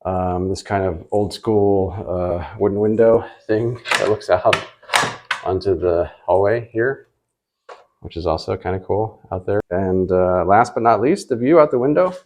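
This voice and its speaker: A man hosting a travel vlog, chatting casually in a halting, rambling, unscripted way. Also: lowish voice, background noise. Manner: hesitant, halting, rambling delivery with trailing repeats.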